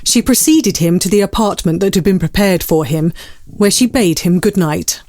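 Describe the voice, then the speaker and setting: A woman's voice: fairly low pitch, moderate pace, a little mellow, no room sound, clean studio sound, natural voice. A woman playing the storyteller, narrating a scripted, whimsical period tale for an audio drama. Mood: measured, wry.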